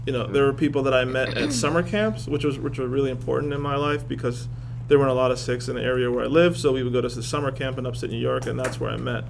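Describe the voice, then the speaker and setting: Halting joking man in a classroom. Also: mid-range voice, fairly even intonation, vocal fry, background noise. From Boston area, United States. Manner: joking, self-deprecating, halting restarts. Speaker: professor being interviewed. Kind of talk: casual interview in a classroom. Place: classroom with students.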